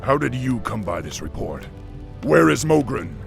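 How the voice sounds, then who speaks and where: A man voicing a noble orc warchief, calm and commanding, with a measured, authoritative delivery. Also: medium pitch, creaky, music in the background.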